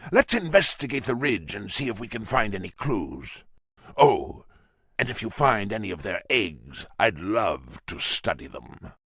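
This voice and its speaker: An actor playing a wise, scholarly old orc shaman in a video game, thoughtful and expressive as he explains things like a mentor. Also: fairly low pitch, dry recording, clean studio sound, full character voice. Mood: welcoming, concerned, then pleased, curious.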